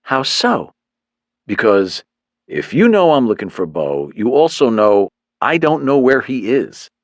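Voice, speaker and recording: A man's voice: mid-range voice, a little slow, no room sound, pitched above the performer's own voice. Brief: man playing a cool, sarcastic hero who needles his captors, in an audiobook. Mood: deadpan. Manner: deadpan, sarcastic. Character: wisecracking male hero held captive, calm and dry.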